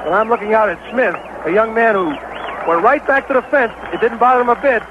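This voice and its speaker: An American man calling baseball play-by-play on 1970s radio as an excitable, partisan announcer. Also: high voice, brisk. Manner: excitable, partisan home-team caller. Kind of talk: 1970s live radio sports commentary. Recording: dull, noisy vintage radio broadcast.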